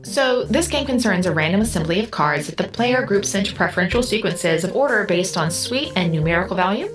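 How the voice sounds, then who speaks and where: A woman acts as an otherworldly female being, curious and coolly analytical. She speaks at a middling pitch in precise, formal sentences, taking everything literally, in audio drama style with music behind her. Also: animated, fairly quick, natural voice. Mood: inquisitive.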